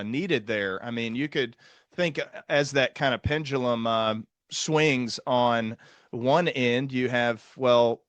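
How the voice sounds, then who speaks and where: A man hosting a physician podcast, speaking in a measured way and thinking aloud with fillers. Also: medium pitch, fairly bright tone, no room sound. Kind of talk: podcast discussion.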